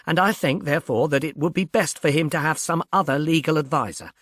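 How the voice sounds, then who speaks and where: Dignified, strained male lawyer for an audiobook, voiced by the narrator, halting and formal, dry recording. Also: on the high side, a bit bright, no echo. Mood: strained, courteous. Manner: stiff, halting, courteous.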